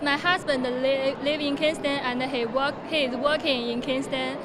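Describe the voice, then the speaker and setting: A woman speaks in short, hesitant English and keeps correcting her own words. Her voice is high. Loud background noise sits under her. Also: steady tone, moderate pace. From China. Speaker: adult English learner.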